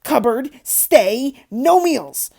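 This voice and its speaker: A middle-aged storybook uncle, acted by a woman narrator, so enraged he can barely get words out. He splutters in clipped bursts, pitched up with anger. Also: lively intonation, very slow, vocal fry, mellow, breathy edge, exaggerated voice. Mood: furious.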